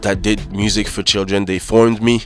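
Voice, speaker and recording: A man born in Paris and raised in Cameroon, a reggae musician answering interview questions in a soft, halting way with many fillers. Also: on the low side, little pitch movement, faint music behind. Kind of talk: relaxed radio interview answers. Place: radio studio. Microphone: studio microphone.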